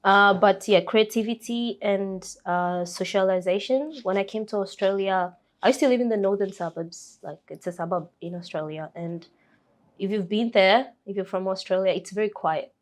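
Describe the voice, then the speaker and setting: A young woman from Kenya with a Kenyan accent talks through her story in a relaxed interview. She speaks softly and hesitantly, with many fillers and restarts. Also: mid-range voice, normal speed. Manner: soft-spoken, frequent fillers and restarts. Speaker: interview guest.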